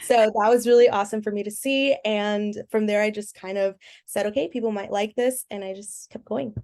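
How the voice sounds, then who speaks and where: A woman's voice: medium pitch, steady tone, a little fast, darkish tone. A woman in her twenties from the United States, a fashion content creator answering interview questions in an easygoing, matter-of-fact way. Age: 20s.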